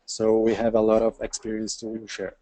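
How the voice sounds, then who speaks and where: A man who runs a tech company, introducing it in plain, halting English. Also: fairly low pitch, completely flat delivery, mellow, slight background noise, poor audio.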